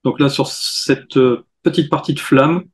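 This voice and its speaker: A man hosting a paranormal news show, chatting in a relaxed way and pointing out details in pictures. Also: fairly high pitch, a little slow. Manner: relaxed, pointing things out on screen. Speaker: host. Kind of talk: casual discussion.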